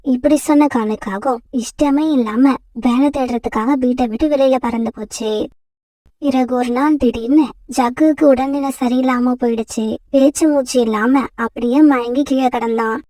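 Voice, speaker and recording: A woman narrating a children's cartoon story in a plain, even storytelling manner. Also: high-pitched, moderate pace, no room sound, distant mic.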